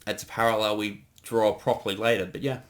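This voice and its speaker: A man giving a casual creator commentary, explaining choices and thinking aloud with pauses. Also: on the low side, fairly even intonation.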